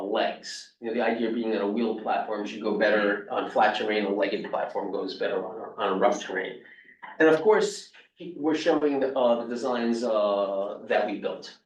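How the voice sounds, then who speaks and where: A man's voice: fairly low pitch, dull audio. A man from New York with a New York accent, giving a casual academic seminar talk full of fillers and restarts. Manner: loose and conversational, with many fillers and restarts. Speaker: lecturer. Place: seminar room. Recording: echoey room, speaker far from the mic.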